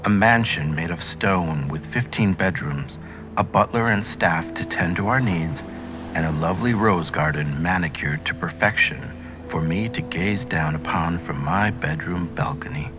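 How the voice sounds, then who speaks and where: An entitled, demanding wife from a storybook, acted out by a male narrator. She speaks imperiously and with haughty greed, while music plays clearly behind her. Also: sits low, a little slow.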